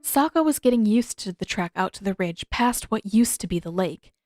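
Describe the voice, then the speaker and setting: A woman's voice: mid-range voice, expressive, normal speed. A woman reading a story aloud as scripted narration. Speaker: podfic narrator and author.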